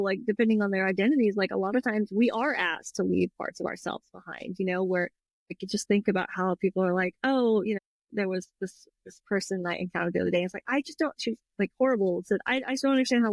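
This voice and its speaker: A woman's voice: mid-range voice, fairly quick, no echo. A woman co-hosting a casual chat, talking with frequent fillers and agreeable backchannels. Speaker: podcast co-host.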